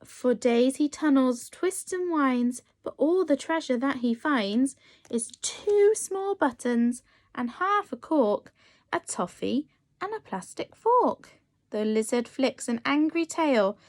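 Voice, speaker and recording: A woman reading a picture book aloud as a nursery teacher, expressive and encouraging as she addresses young children. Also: sits high, slow pace, no echo. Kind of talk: animated children's storytime reading.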